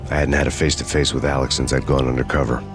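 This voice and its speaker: An actor playing a world-weary undercover cop who narrates a noir story in a dry, sardonic way. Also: male voice, very deep voice, flat delivery, rapid-fire. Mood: grim. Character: grim hard-boiled male undercover cop narrating. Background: music.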